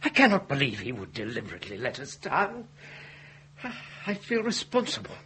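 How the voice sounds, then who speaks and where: A man's voice: medium pitch, huge pitch swings, normal speed, bright, forceful tone, echoey room, recorded from a distance, a little hiss or hum. A man playing a pompous, indignant scholar in a period ghost story drama, speaking formally and with exasperation. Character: pompous, indignant male English academic scholar.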